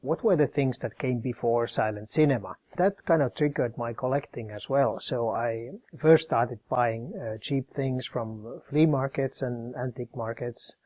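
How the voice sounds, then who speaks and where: Calm man explaining. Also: mid-range voice, measured pace, few pauses, lo-fi sound. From Finland. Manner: calm, explanatory, demonstrating objects as he talks. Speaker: professor and collector. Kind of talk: interview, explaining his collection. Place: his office.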